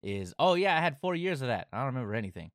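A man's voice: mid-range voice, sing-song, dry recording, clean studio sound. A man co-hosting a podcast in casual chat, dropping in short, wry remarks. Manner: quick interjections, wry and joking. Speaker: podcast co-host.

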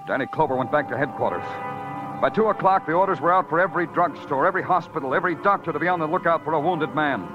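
An actor playing a weary, curt, hard-boiled New York police detective in a 1940s-style radio crime drama, terse and brooding. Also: male voice, fairly high pitch, quick pace, natural voice. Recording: dull old radio recording with music.

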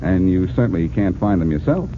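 An actor playing a wisecracking, self-assured amateur detective in a 1940s radio drama, with a wry, teasing manner. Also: male voice, low voice, expressive, fairly quick. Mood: needling. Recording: muffled, noisy old radio broadcast.

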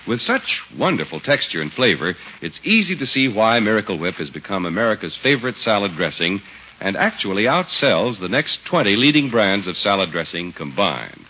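A man works as a 1950s radio announcer with a polished, scripted delivery. His pitch moves in lively, emphatic sweeps. The recording is dull, with faint hiss. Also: normal speed.

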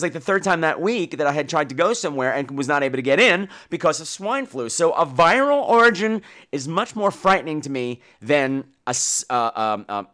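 A man hosting a podcast, giving casual, opinionated and profane film commentary in an animated way. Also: fairly high pitch. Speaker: podcast host.

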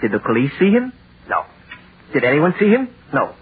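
An actor playing an exasperated, skeptical defence attorney in a 1930s radio drama, impatient and hectoring. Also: male voice, on the high side, wildly swooping pitch, moderate pace. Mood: incredulous. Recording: noisy, tinny 1930s radio broadcast.

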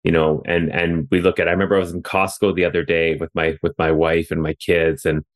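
Brief: Canadian male podcast host, very low voice, chatty, lots of "you know". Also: level tone, fairly quick. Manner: chatty, loose, many fillers and restarts. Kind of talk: conversational interview. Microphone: remote call.

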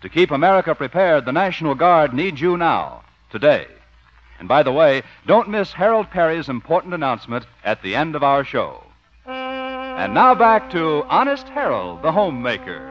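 Male vintage radio announcer: highish, lively, dramatic delivery, muffled broadcast sound over music. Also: moderate pace. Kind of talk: 1950s radio announcing, scripted narration.

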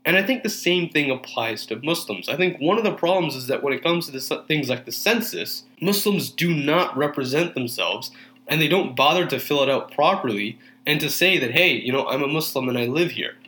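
Earnest young man, bright voice. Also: fairly high pitch, animated, fairly quick. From Canada. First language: Urdu. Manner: earnest, urging, many fillers and restarts. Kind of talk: earnest monologue.

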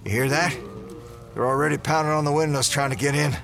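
Earnest higher-voiced small-town sheriff played by a man. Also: fairly quick, vocal fry. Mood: urgent, commanding. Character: serious, take-charge small-town sheriff, adult man. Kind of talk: audio drama acting.

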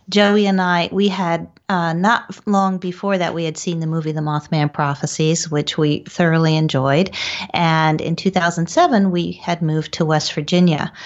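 Low-pitched middle-aged woman, muffled audio. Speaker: guest, author and psychic medium. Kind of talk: conversational storytelling. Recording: dull, lo-fi sound.

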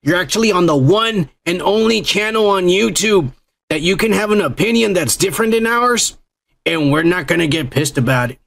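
A man's voice: high voice, lively intonation, moderate pace, creaky, a bit bright. A man hosting a podcast in loose, profane, rambling banter with his co-host. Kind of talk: casual podcast chat.

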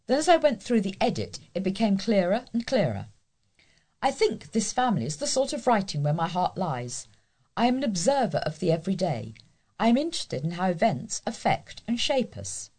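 A woman's voice: mid-range voice, a little mellow. A woman with a British accent reading scripted local news as a volunteer newsreader.

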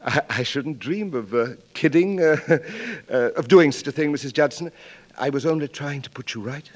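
An actor playing a proper English manservant with a British accent, formal and politely stammering, in a 1940s radio drama. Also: male voice, a little high, normal speed, lightly acted. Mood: polite, dignified. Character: proper, deferential English manservant, hesitant but dignified. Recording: 1940s radio broadcast recording.

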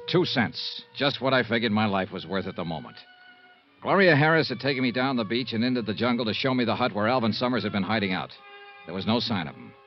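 An actor playing a wry, hard-boiled insurance investigator in a 1950s radio drama, sardonic and dry. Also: male voice, mid-range voice, close to an everyday voice. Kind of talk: 1950s radio drama acting and first-person narration. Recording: muffled 1950s radio recording.

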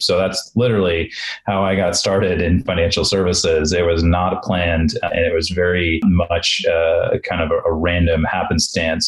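A man who grew up in Europe, a fund founder, telling his career story as a guest in a relaxed, rambling way with many fillers. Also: low-pitched, low-quality recording. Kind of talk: conversational podcast interview. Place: home office.

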